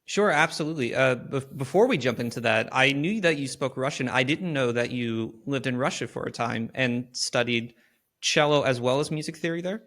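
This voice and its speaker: A man's voice: mid-range voice, moderate pace, fairly bright tone. A young man hosts a podcast interview in an ordinary mid-range voice. He asks long, earnest questions and sometimes stops to pick his words with a filler. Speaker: podcast host.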